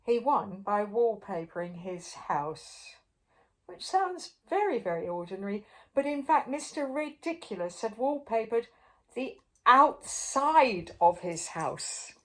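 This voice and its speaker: An older woman reading a picture book aloud to young children in a playful, expressive way, with chatty asides to the listener. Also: fairly high pitch, takes it slowly. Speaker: storyteller.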